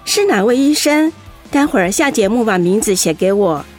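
A woman's voice: a little high, lively intonation, moderate pace, darkish tone, soft background music, close to an everyday voice. An actress playing an attentive, encouraging female radio host in an audio drama. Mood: attentive, chatty. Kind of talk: radio drama acting as presenter.

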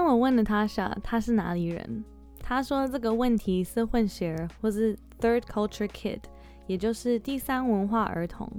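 A woman from Taiwan hosts a podcast, moving easily between Mandarin and English with an upbeat, friendly lift. Her voice sits at a mid pitch for a woman. A clear music bed plays beneath her. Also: darkish tone. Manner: upbeat host, switches between Mandarin and English, asks short questions. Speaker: podcast host. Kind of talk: bilingual podcast hosting.

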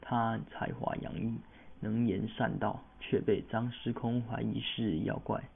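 A man's voice: fairly low pitch, level tone, a little slow, gravelly, narrow, tinny audio, slight background noise. A teenage young man narrating a scripted story for a student radio drama. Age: teens.

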